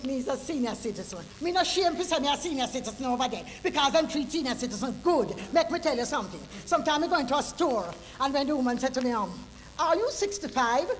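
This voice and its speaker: A woman's voice: sits high, long reverb tail, slight background noise. A woman in her seventies with a Jamaican Patois accent, telling a story in a chatty way and laughing often. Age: 70s. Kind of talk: lively casual storytelling.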